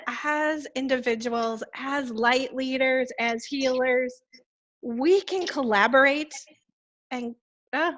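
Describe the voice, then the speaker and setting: A woman co-hosting a podcast over a remote call, speaking in a soft, reflective way. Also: high-pitched, rough recording. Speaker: podcast co-host. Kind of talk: casual chat. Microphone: remote call, echoing.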